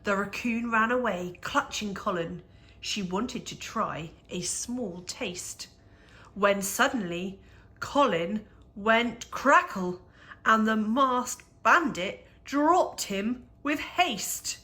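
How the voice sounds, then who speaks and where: A woman reads a children's picture book aloud in a bright, clear-cut tone. Her pace is slow and measured. She delivers the rhyming verse expressively, leaning on key words for drama. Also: medium pitch. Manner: measured, animated storytelling with dramatic emphasis. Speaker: children's story reader.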